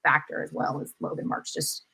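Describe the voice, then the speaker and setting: A woman on a podcast panel offering casual sports analysis in a measured, reflective way. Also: bright, forceful tone.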